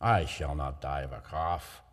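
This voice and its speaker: A man's voice: rumbling low, a little slow, pitched below the performer's own voice. A man voicing a tipsy, boastful nobleman. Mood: dismissive. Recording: long hall reverb.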